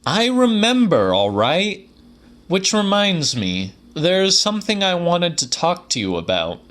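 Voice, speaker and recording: Man acting out an eager, higher-pitched insistent fellow. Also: huge pitch swings, a little slow, a bit bright, exaggerated voice. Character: eager, insistent male acquaintance with an odd obsession. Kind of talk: dramatic audiobook dialogue.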